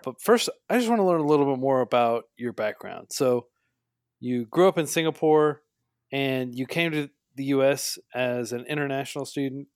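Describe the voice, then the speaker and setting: A man's voice: medium pitch, no echo, clean studio sound. A man hosting a casual podcast interview, plainly recapping and rephrasing what he hears and asking questions.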